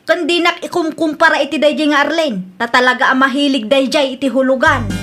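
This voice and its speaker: A woman's voice: high voice, brisk, over music. A woman with an Ilocano accent playing a proud, disapproving daughter who lectures others in an audio drama.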